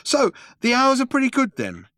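A man voicing a glib, nervy, quick-thinking male traveller in an audiobook, with an excitable, coaxing manner. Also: extremely high pitch, no echo, clean studio sound, pitched above the performer's own voice, heavily acted. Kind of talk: audiobook character voice.

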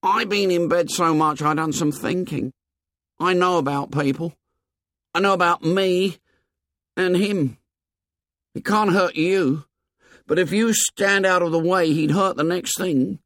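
High-pitched, whining, frightened schoolboy. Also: male voice, unhurried, pauses often, fairly bright tone, no room sound, clean studio sound. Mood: anxious, earnest. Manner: whining, colloquial, insistent. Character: frightened, pleading schoolboy, working-class grammar, anxious and sensible.

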